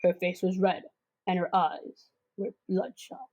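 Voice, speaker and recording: A woman's voice: lowish voice, measured pace, a little mellow, poor audio. A girl of about twelve narrating a comic suspense radio drama in a theatrical, scripted way. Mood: suspenseful. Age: child about twelve.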